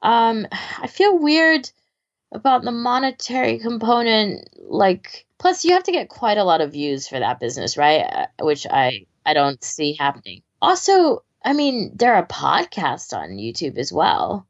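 A woman's voice: mid-range voice, sing-song, a little slow, muffled recording. A woman podcast co-host chatting casually in a wry, offhand way. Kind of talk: casual chat.

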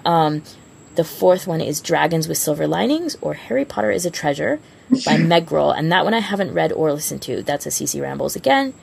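A woman's voice: low-pitched, gravelly, darkish tone, a little hiss or hum. A woman co-hosting a podcast in casual, unscripted chat. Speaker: podcast co-host.

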